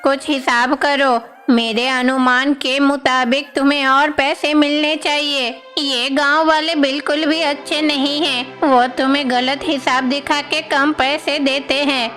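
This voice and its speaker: A woman's voice: high-pitched, level tone, measured pace, hardly any pauses, a bit bright, faint music behind. A woman voicing a concerned village grandmother who scolds and questions as she advises, in a cartoon story. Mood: scolding concern. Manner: admonishing, questioning.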